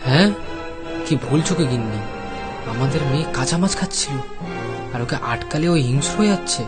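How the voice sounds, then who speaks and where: Man acting a fast-talking, frantic village father. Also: medium pitch, expressive, natural voice. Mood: alarmed. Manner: agitated, pleading, repeats himself. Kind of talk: cartoon story voice acting. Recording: clear music under the voice.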